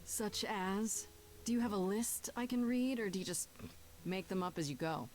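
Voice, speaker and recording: Game heroine, female actor: young, defiant, sardonic, dry natural delivery at a middle pitch. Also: moderate pace, darkish tone, soft background music, natural voice. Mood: guarded, challenging. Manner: dry, challenging, conversational. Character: defiant, sardonic young woman newly made an idol. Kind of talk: video game voice acting.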